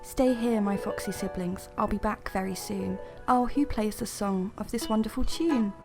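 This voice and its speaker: A woman's voice: medium pitch, normal speed, music in the background, lightly acted. A woman voicing a dreamy, curious young female fox in a rhyming folk tale. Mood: enchanted, curious. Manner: rhyming, wondering.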